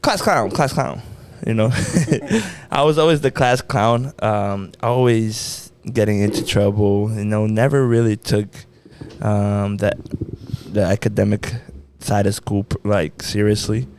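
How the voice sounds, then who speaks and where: A young man from the United States with a somewhat low voice shares his story on a casual podcast. He talks loosely and drifts a little, with plenty of "you know" and "like" and easygoing slang. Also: unhurried, a little mellow, a little hiss or hum. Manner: laid-back, slangy, rambling with many fillers. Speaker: podcast co-host.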